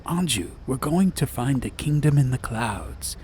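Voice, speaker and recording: A man's voice: medium pitch, lively intonation, measured pace, darkish tone, slight background noise, voice pushed up, lightly acted. A man voicing a bold, blunt, hearty adventurer with an emphatic, boisterous manner in a storybook. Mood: bold persuasion.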